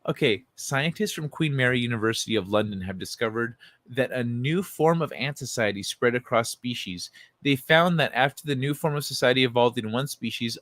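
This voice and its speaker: A man hosts a casual livestream in a mid-pitched voice, recorded close and dry. He talks informally and excitably, swears freely, and moves between loose chat and reading aloud. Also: no echo. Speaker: livestream host.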